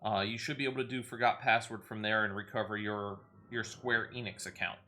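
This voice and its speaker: A man's voice: on the low side, fairly even intonation, brisk, pushed and bright. A man hosting a game livestream, chatting casually in a helpful, hesitant, explanatory way. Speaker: game streamer, host.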